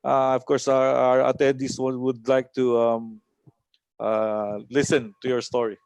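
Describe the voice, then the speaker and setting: A man hosting an online coaching webinar, introducing a guest with halting speech full of fillers. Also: mid-range voice, little pitch movement, vocal fry, a little mellow, smooth, no room sound. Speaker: host.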